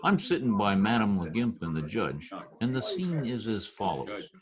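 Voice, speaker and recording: Male actor as nervous slangy narrator, lively swooping pitch, radio comedy, crowd chatter behind. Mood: wry narration. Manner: slangy, deliberately formal wording. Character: wry, nervous male Runyonesque narrator playing mayor. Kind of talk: amateur old-time radio play acting. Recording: crowd chatter behind, online call recording.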